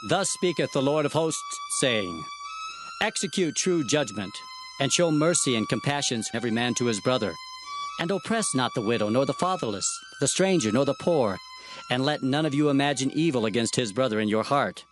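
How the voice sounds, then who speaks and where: Biblical prophet, played by a man, earnest, measured declamation, medium pitch, scripture drama, light musical backing. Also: lively intonation, over music, close to an everyday voice. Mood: solemn. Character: earnest male biblical prophet recounting his visions.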